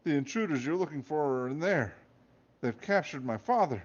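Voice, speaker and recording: Man voicing a sly young girl, raised voice, lively pitch, lo-fi sound. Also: moderate pace. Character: clever young girl, the boss's daughter.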